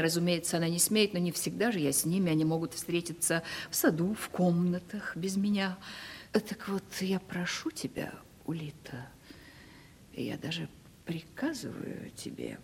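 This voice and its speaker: A woman's voice: on the low side, slight background noise. An actress playing a vain, coquettish ageing lady of a country estate in a Russian radio comedy, with a commanding, condescending theatrical manner. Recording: reverberant studio radio play recording.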